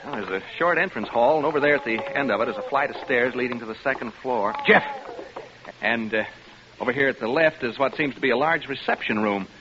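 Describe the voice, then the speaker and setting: An actor playing a flippant, jittery radio reporter on a ghost hunt, narrating casually in a vintage radio drama. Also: male voice, mid-range voice, a little fast, lightly acted. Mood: bemused, coaxing. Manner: wry, casual running narration. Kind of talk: 1940s-50s radio drama acting. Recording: noisy, muffled old radio broadcast recording.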